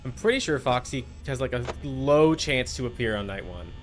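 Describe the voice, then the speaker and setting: A man's voice: medium pitch, animated, normal speed, faint music behind. A man streaming a game with casual, playful live commentary, chatting to viewers and to the game's characters.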